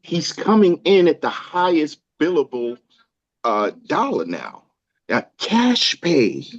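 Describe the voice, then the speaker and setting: A man playing a persuasive, money-minded clinic boss, pressing his case a little haltingly at a drama table read. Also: fairly high pitch, big pitch swings, no room sound, full character voice. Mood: smoothly pushy. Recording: close, dry, slightly dull audio.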